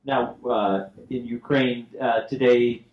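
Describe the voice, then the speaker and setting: A man moderates a film Q&A, asking measured questions with the odd filler and a cleared throat. His pitch is middling for a man, and the room adds a noticeable echo. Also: steady tone, lo-fi sound. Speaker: host, moderator. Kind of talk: post-screening Q&A moderation. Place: theater stage after a screening. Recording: roomy theater sound.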